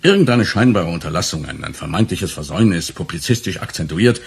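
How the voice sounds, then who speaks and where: An actor playing a stern, formal senior police official in a radio crime drama, speaking in measured bureaucratic sentences with a husky voice. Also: male voice, low voice, expressive, full character voice. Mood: formal, insinuating. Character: stern, formal senior police superior, male, pedantic and cool.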